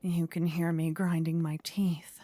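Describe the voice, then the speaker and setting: A woman playing a possessive, warlike demon queen in an intimate ASMR roleplay, speaking in a breathy whisper with measured, formal, regal phrasing. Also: lowish voice, flat delivery, warm tone, no echo. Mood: seething, displeased.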